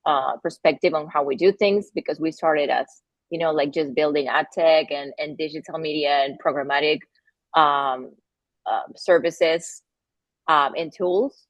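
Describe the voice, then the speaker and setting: A woman from Argentina, with an Argentinian accent and Spanish as her first language, talking in a casual interview, halting often with fillers and restarts. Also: low-pitched, dry recording, dull audio. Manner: halting, with many fillers and restarts, laughs between phrases. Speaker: guest, company founder.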